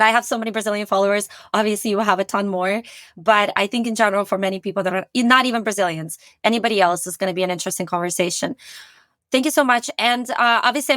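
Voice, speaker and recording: A woman's voice: medium pitch, a bit bright, no echo, clean studio sound. A woman hosting a podcast interview, upbeat and fluent as she asks questions and reflects. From Canada. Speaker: podcast host. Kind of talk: interview conversation.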